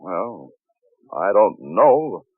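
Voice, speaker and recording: A man's voice: on the low side, sing-song, very slow, breathy edge, no room sound, heavily acted. A man playing a proper, easily flustered and indignant lawyer in a 1940s radio drama. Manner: formal, exclamatory, blustering. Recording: muffled narrow-band 1940s radio recording.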